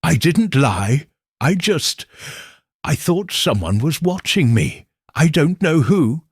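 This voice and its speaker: A man's voice: on the high side, sing-song, slow pace, slightly rough, no echo, clean studio sound, voice pushed up, full character voice. A man voicing a nervous, guarded young woman client, explaining herself hesitantly and earnestly in an audiobook mystery. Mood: nervous, defensive.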